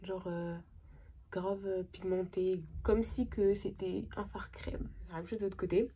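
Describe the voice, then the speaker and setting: A young woman with a cold, chatting excitedly and casually through a makeup tutorial, with lots of slang and trailing sentences. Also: mid-range voice, measured pace, a little hiss or hum. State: has a cold. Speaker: makeup vlogger.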